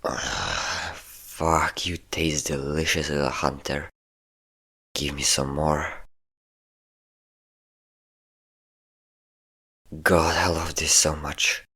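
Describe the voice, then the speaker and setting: Very deep, smug male vampire, acted by a man. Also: takes it slowly, sharp tone, breathy edge, heavily acted. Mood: menacing, hungry. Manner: teasing, commanding, theatrically smug. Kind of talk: intimate roleplay audio drama.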